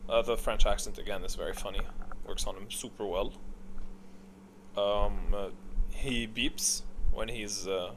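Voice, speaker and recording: Male game tier-list host, slightly low voice, off-the-cuff and halting, some background noise. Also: fairly even intonation, a bit bright. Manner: hesitant, rambling, stop-start delivery. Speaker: video host ranking game voice lines. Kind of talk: casual unscripted tier-list commentary.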